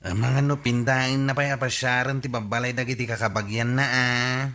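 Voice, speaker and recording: A man's voice: mid-range voice, level tone, normal speed, pushed and bright. A man with an Ilocano accent playing a joking, teasing villager in a radio comedy drama. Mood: casual. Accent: Ilocano Filipino.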